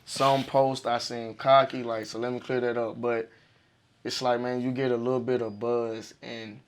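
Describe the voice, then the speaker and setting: Raspy young guy from arkansas, rapper type, telling stories all hyped, cussing a lot. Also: mid-range voice, moderate pace. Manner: animated, profane storytelling with slang. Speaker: music artist guest. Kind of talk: casual interview chat.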